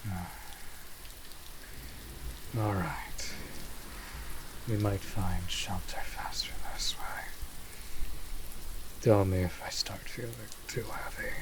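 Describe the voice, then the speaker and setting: A man playing a weakened, brooding vampire master in an audio drama, halting and breathy, often slipping into whispers. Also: deep voice. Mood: weary, guarded. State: weak, strained, sighing. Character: weakened, brooding male vampire master, protective yet hungry. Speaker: voice actor. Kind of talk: dramatic roleplay audio acting. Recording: steady rain noise over the voice. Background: rain.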